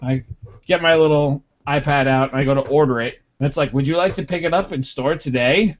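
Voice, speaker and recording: A man on a casual podcast tells a long, meandering story and acts out the quoted bits along the way. His voice sits a little high. The recording is dull and lo-fi. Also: a little fast. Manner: rambling anecdote with acted quotes. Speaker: podcast co-host.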